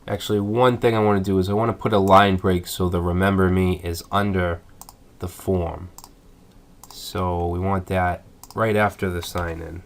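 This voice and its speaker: A man with a stuffed-up voice from a cold, calmly explaining web code step by step in a screencast tutorial. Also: low voice, normal speed. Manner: methodical, thinking aloud with fillers. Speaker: coding tutorial instructor.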